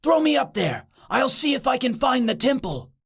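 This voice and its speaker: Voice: high voice, sing-song, normal speed, vocal fry, fairly bright tone, breathy edge, voice pushed up, exaggerated voice. A performer voicing a tiny, bossy young boy in a story, urgent and exasperated.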